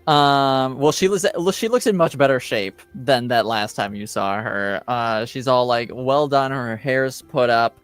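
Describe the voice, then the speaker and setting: Slow, halting male game master. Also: mid-range voice, expressive, a bit bright, clear, resonant tone, no echo, soft background music. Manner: halting, casual, searching for words. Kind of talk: improvised tabletop game narration.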